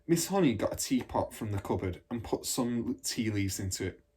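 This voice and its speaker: Husky man reading a story. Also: on the low side, lively intonation, normal speed, darkish tone. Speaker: storybook reader. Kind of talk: scripted children's story narration.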